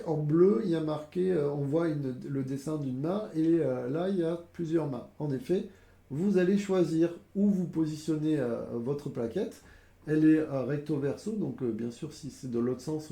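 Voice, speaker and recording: Man with a cold, casual board game reviewer, gravelly, halting delivery, roomy echo. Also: a little high, mellow. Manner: hesitant, many fillers, explains step by step. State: has a cold. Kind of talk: casual game explanation to camera.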